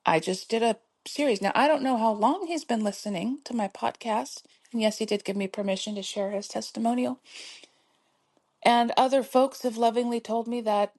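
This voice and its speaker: A woman's voice: a little high, moderate pace, darkish tone. A middle-aged woman hosting her own podcast, talking in a soothing, encouraging way and laughing often as she urges listeners to keep going. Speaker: podcast host. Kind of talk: motivational solo podcast talk.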